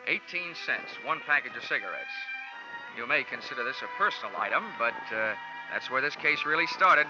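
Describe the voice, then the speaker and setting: A man's voice: mid-range voice, lively intonation, a little fast, lightly acted. Man playing a wry hard-boiled 1940s radio detective. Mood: wry narrating. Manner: wry, laconic, wisecracking. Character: wry, hard-boiled male freelance insurance investigator. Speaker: lead actor and narrator of a detective series. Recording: dull old 1940s radio recording, music behind.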